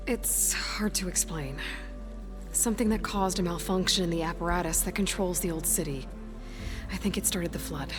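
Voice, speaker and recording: A woman's voice: lowish voice, normal speed, close to an everyday voice. A young woman voicing a self-reliant, dry, determined adventurer in a video game. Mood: measured, dry. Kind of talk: video game voice acting, talking to herself. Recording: loud music behind the voice.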